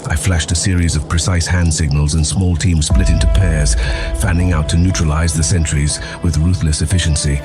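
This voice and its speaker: Really low male voice, grave dramatic audio drama narration, loud music under it. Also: level tone, moderate pace. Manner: grave, intense, theatrical. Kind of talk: dramatic scripted first-person narration.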